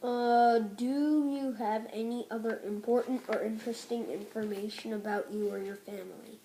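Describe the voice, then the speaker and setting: A woman's voice: fairly high pitch, fairly even intonation, moderate pace, warm tone, a little hiss or hum, poor audio. A female interviewer reading out prepared questions in a plain, hesitant way. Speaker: interviewer for a school project.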